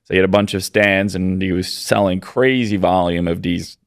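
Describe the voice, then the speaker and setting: Podcast guest: man in his thirties from Poland, very low and smooth voice, dry close sound, easygoing and rambling. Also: normal speed, no echo. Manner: relaxed, rambling, many fillers. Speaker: podcast guest, business owner. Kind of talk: casual interview answers.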